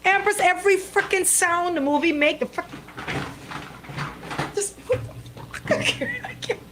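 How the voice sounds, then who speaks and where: A woman's voice: very high-pitched, expressive, a little slow, a bit bright, a little hiss or hum. A woman bantering and telling stories as a radio co-host, exclamatory and given to emphatic repetition. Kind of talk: bantering radio chat and storytelling.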